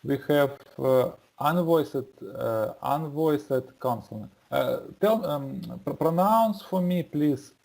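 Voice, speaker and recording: An English learner with a non-native accent, speaking haltingly in a lesson and repeating words to practise. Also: mid-range voice, gravelly, darkish tone. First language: not English.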